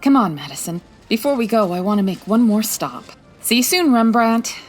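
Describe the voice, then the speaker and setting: A woman's voice: fairly high pitch, expressive, moderate pace, soft background music, natural voice. A woman playing a confident, wry 1940s private detective in a radio-style comedy drama, breezy and teasing. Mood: brisk, confident. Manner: breezy, teasing, self-assured.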